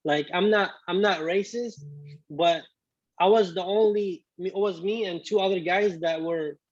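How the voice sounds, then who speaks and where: Young guy originally from iraq, arabic his first language, bit high voice, lots of restarts, dry dull call audio. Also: no room sound. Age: 20s. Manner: halting, many restarts and fillers. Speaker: guest. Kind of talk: casual video-call interview.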